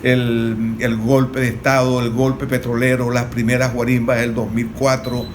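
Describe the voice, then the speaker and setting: A Venezuelan man speaking as an analyst on a political panel, explaining in a didactic, measured way. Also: medium pitch, little pitch movement. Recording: clearly noisy recording.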